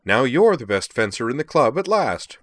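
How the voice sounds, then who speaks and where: A proud reptilian fencer of a storybook , acted out by a male reader, speaks with measured, flowery courtesy. His tone is calm and polite with a cutting edge, in a low register, recorded close and dry. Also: no room sound, close to an everyday voice. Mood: cheerful. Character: courteous, proud lizard-like alien swordsman, calm, cheerfully cutting. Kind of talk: acted dialogue in a narrated story.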